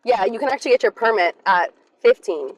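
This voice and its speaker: A woman's voice: fairly high pitch, animated, a little fast, highs cut off. An American woman from Florida chatting as an interview guest, talking in a loose, laughing way with lots of "like". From Florida, USA. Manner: chatty, many "like" fillers, laughs easily. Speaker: guest influencer and dancer. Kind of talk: casual interview chat.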